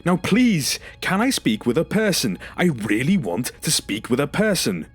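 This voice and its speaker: A man's voice: a little high, sing-song, moderate pace, husky, soft background music. A man acting in an audio drama as a distressed, sarcastic and exasperated survivor, indignant and pleading. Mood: desperate, insistent.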